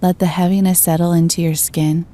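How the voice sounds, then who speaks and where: A woman narrating a scripted guided meditation in a gentle, calm and soothing manner. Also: lowish voice, brisk.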